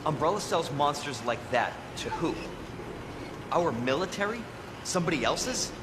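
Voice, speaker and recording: An actor playing an earnest, determined young rookie cop in a dramatic video game, terse and questioning. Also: male voice, fairly high pitch, expressive, moderate pace, big-room sound, noisy background, natural voice. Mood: incredulous.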